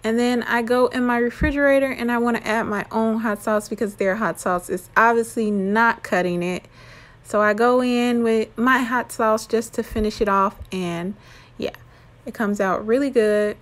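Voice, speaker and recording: A young adult woman talking informally and chattily, as in a casual cooking vlog. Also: on the high side. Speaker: vlogger.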